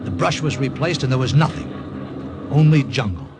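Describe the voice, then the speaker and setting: An American actor playing a wisecracking, excitable wartime airman who narrates his mission in an old radio drama. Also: mid-range voice, normal speed, heavy background noise, close to an everyday voice. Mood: tense narration. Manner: jokey, excitable. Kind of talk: 1940s-50s radio drama acting, part narration. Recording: old radio recording with loud music.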